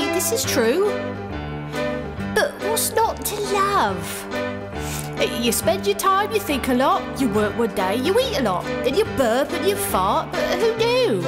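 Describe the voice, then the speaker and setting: Storybook elf, male played by a woman: high, unhurried, blunt, chatty, loud music behind. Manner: rambling, chatty, colloquial. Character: grumpy, blunt, kindly male elf with a temper. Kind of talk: character acting in an audio storybook.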